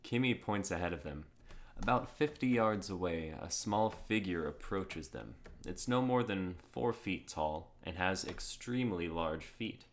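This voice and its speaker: A man's voice: low-pitched, lively intonation, measured pace. A man chatting casually and reading script narration on an online stream. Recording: clearly noisy mic with keyboard clicks.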